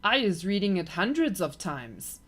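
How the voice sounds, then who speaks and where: A woman voicing a huge kindly old male giant who mangles his grammar, speaking louder than her narration. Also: on the low side, big pitch swings, measured pace, exaggerated voice. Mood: gentle. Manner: gentle, mangled grammar, loud.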